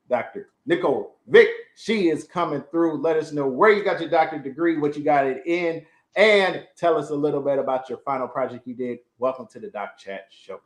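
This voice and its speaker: Hyped male show host, roomy sound. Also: mid-range voice. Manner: hyped, casual slang. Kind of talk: energetic show hosting. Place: home room. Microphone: video call. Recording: roomy video call audio.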